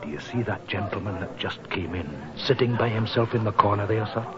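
A man acting a grim Scottish village innkeeper in an old radio play. His voice is low and folksy, respectful yet full of foreboding. The sound is that of a dull 1940s broadcast. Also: brisk, a crowd in the background, close to an everyday voice. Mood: helpful. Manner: ominous, deferential, folksy. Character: grim, superstitious Scottish village innkeeper who hates the local laird.

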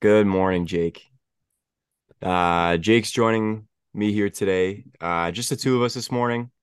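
A young man with a stuffy head cold, hosting a casual sports podcast and talking in halting bursts with many restarts. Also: fairly low pitch, clean tone, dry recording, clean studio sound. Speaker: podcast host.

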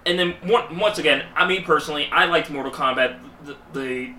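Male podcast co-host, high and piercingly bright, emphatic repetitions, informal talk, echoey pickup. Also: a little hiss or hum. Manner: halting, emphatic, repeats words. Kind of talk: casual chat about films and games. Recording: echoey room, distant mic.

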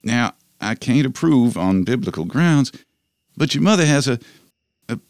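Apologetic 1890s farmer father male actor: American, halting and creaky, dry close sound, film drama dialogue. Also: medium pitch, animated, no room sound. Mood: pleading, regretful. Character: desperate, apologetic farmer father leaving his family.

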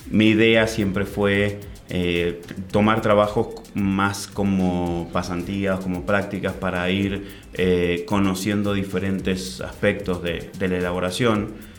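Deep-voiced male interview guest, halting delivery, light music bed. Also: little pitch movement. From Chile, raised in Argentina. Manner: hesitant, many fillers and restarts. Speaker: guest winemaker.

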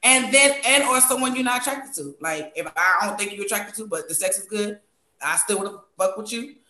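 A woman from New York chatting candidly and casually as a panel guest, expressive and emphatic, often repeating phrases for effect. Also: mid-range voice, a little fast. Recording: roomy echo.

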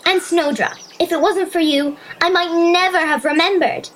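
A woman playing a curious, excitable young Irish girl, acting and narrating her adventure in a wide-eyed, expressive way for an audio drama. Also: very high-pitched, moderate pace, noisy background. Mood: alarmed, urgent. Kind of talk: audio drama acting and first-person storytelling. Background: music.

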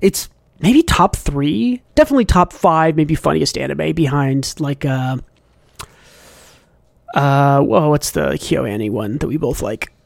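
A man with a slightly high-pitched voice hosts a casual podcast. He talks in a rambling, enthusiastic fan's way. The sound is close and dry, with little room to it. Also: lively intonation, measured pace, gravelly, no echo. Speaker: podcast host. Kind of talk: casual manga podcast chat.

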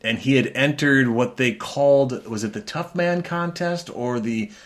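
A man's voice: mid-range voice, a little slow. A man hosting a talk show in a casual, rambling way, often correcting himself mid-sentence. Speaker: podcast host. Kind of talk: casual chat.

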